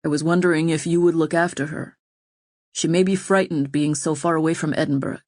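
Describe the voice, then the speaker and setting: A troubled nobleman speaking softly and ruefully, as a woman narrator puts him on. The voice sits low for a woman. The sound is dry and close to the mic. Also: fairly even intonation, dry recording, lightly acted. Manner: soft, measured, rueful. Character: troubled loyal nobleman, gentle and helpless. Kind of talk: audiobook reading.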